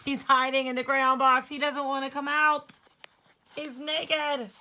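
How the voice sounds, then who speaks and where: A woman's voice: high-pitched, level tone, a little slow, bright, forceful tone. A woman teacher reading a children's storybook aloud, expressively, and explaining things in simple terms.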